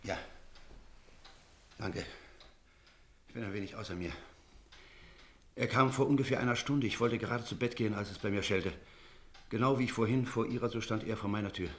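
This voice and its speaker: A man acting a firm, energetic village priest in a radio play. His voice is on the low side. He speaks decisively and emphatically, like someone used to laying down doctrine. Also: normal speed, long pauses, lightly acted. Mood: agitated, urgent. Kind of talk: German radio drama acting.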